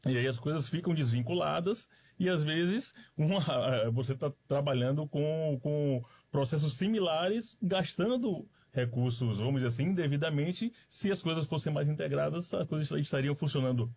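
A man's voice: a little high, moderate pace, few pauses, darkish tone. A man from Salvador with a Bahian accent, a guest explaining services in a repetitive, explanatory way. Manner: explanatory, repeats words, laughs lightly. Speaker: public lighting company guest.

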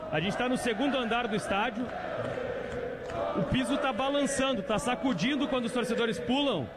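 A man's voice: extremely high pitch, moderate pace. A man reporting live from the stands of a stadium, raising his voice over the fans. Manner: excited, raises voice over the crowd. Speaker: field reporter. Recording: noisy crowd outdoors. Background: cheering crowd.

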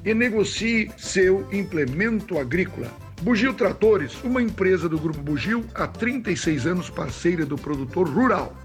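A man hosts a radio music program with a southern Brazilian accent. His voice sits fairly high, and he reads links and sponsor copy in a courteous, formal, measured way. Light music plays beneath him. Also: expressive. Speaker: radio music show host. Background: music under the voice.

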